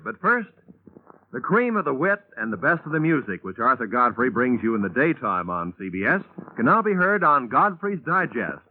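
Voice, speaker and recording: A man's voice: mid-range voice, phone-quality sound, a little hiss or hum. A man giving a polished, emphatic announcement in a 1950s radio broadcast.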